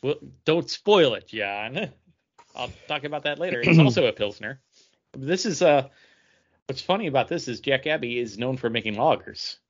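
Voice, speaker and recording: A man co-hosting a podcast in casual chat, speaking in a hesitant, halting way. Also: medium pitch, lively intonation, muffled recording. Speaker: podcast co-host. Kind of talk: casual chat, beer review.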